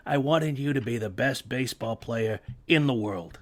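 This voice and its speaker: An actor reading a gentle, good-natured working man in his sixties for a radio play, talking hesitantly with many fillers. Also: mid-range voice, measured pace, close to an everyday voice. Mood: fond. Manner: hesitant, rambling, full of fillers.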